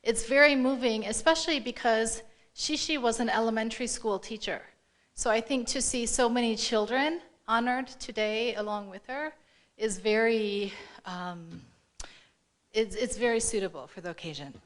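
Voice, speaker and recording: A woman reading a formal award-ceremony speech aloud in a measured, deliberate way. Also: fairly high pitch. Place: live event room before an audience.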